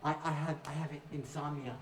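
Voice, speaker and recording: A man's voice: a little high, steady tone, brisk, lightly acted. A young man acting an anxious, awkward, hesitant student who stammers, in a stage play. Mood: nervous, rambling. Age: young adult. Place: indoor stage, recorded from afar. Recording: distant, echoey stage recording.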